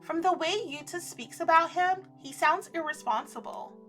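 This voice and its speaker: A woman's voice: extremely high pitch, moderate pace, fairly bright tone, soft background music. A woman reading a visual novel aloud, with playful, effusive, casual reactions. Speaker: streamer playing a visual novel.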